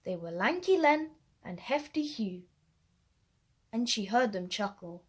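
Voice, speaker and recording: A woman storyteller reading a rhyming children's story aloud, expressive, with deliberate emphasis. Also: mid-range voice, husky.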